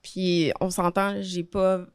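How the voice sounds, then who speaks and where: A woman's voice: lowish voice, slow, clear, resonant tone, no echo, studio quality. A woman in her thirties with a Québécois French accent, a podcast guest talking candidly and expressively in a casual chat. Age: 30s. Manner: candid, expressive, colloquial storytelling.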